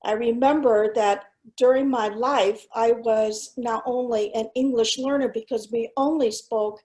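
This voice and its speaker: A middle-aged woman whose first language was Cantonese, a school superintendent giving a keynote talk over slides in a measured, earnest way, pausing with fillers. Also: fairly high pitch, fairly even intonation, warm tone, low-quality recording. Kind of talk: presentation with slides.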